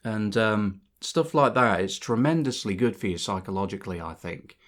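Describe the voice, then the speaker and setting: A man's voice: fairly low pitch, expressive, normal speed, a bit bright. A young man talking over gameplay in a rambling, reflective way, a bit bunged up and sore-throated from a cold, with many fillers and restarts. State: congested from a cold. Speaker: channel host. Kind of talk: rambling casual monologue. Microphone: voice-over over gameplay footage.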